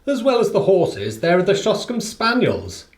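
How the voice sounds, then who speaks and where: An actor playing an affable, chatty gentleman companion in a radio play, with a British accent and emphatic, conversational phrasing. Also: high-pitched, huge pitch swings, moderate pace, echoey room. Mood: emphatic, informative.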